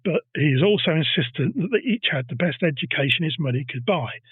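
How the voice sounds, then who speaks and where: A man's voice: on the high side, expressive, fairly quick, husky, no echo, phone-quality sound. A man hosting a casual history podcast, telling a story and teasing his co-host.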